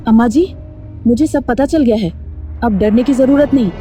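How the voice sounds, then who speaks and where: Lady doing a earnest young-hearted village mother in a cartoon, highish soft voice, emotional. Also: moderate pace, close to an everyday voice. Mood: determined. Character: kind-hearted, determined village mother of a sick child. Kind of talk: Hindi cartoon story voice acting. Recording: faint music behind the voice.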